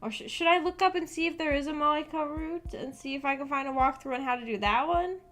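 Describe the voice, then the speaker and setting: A woman's voice: high voice, a little fast, fairly bright tone. A young adult American woman chatting casually with viewers on a livestream, often hesitant and thinking aloud as she goes. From United States. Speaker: live streamer, visual novel book club host. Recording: background music under the voice. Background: background music.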